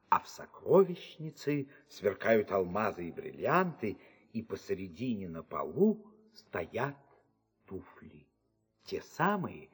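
Expressive male storybook narrator. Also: mid-range voice, breathy edge. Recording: music beneath.

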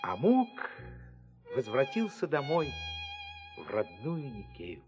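A man's voice: medium pitch, slow. A man narrating a fairy tale expressively. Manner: expressive, storytelling. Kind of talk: storybook narration. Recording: music beneath.

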